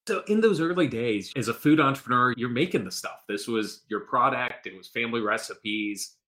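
A man's voice: medium pitch, moderate pace, bright, forceful tone. A man hosting a business podcast, reading an upbeat intro and then asking friendly questions. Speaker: podcast host.

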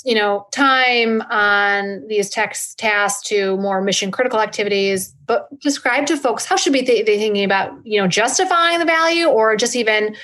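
A woman's voice: mid-range voice, moderate pace, few pauses, a bit bright. A woman interviewing in a conversational style, affirming and restating points as she leads into questions. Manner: affirming, restates the guest's points before asking.

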